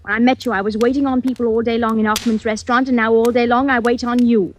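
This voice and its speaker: Woman acting exasperated scolding wife, highish voice, old radio. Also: fairly even intonation, fast, natural voice. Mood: nagging. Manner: scolding, plain-spoken. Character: exasperated, practical working-class wife, nagging yet fond. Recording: noisy vintage radio recording.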